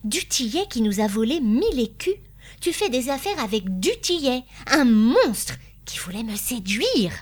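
A woman's voice: on the high side, expressive, moderate pace, pitched above the performer's own voice, close to an everyday voice. Storybook role: devoted, worried wife of a failing shopkeeper, pleading and emphatic, played by a female reader. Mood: alarmed, accusing. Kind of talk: dramatised audiobook dialogue.

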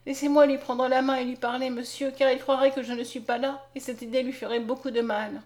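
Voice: sits high, level tone, fairly quick, darkish tone, natural voice. A woman narrator voicing a pleading, anguished young peasant girl with imploring exclamations in a storybook reading. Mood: urgent relief.

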